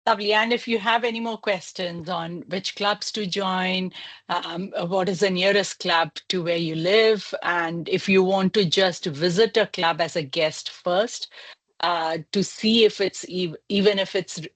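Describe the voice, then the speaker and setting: A woman with a lower voice gives encouraging advice at a communication workshop. She talks in a measured way, with the odd filler as she gathers her thoughts. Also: steady tone, fairly bright tone. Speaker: workshop speaker.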